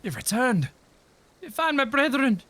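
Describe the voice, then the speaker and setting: A man's voice: extremely high pitch, sing-song, unhurried, pushed and bright, voice pushed up, full character voice. A man voicing a grave, anguished video game character, speaking in an earnest, formal, theatrical way. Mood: grave, pleading. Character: grave, anguished game character pleading against a futile cause. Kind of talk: dramatic read-aloud of video game dialogue.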